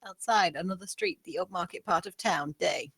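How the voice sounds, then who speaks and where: A woman's voice: on the low side, normal speed, vocal fry, a bit bright, breathy edge, no room sound. A woman reading out screenplay stage directions as scripted narration.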